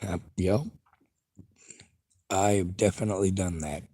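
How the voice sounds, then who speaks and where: A man speaking in a blunt, lecturing livestream monologue and reading viewer chat. His voice is husky and sits fairly low, with a stutter and a speech impediment. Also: fairly even intonation, measured pace, dark tone, no room sound, clean studio sound. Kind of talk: casual opinionated monologue.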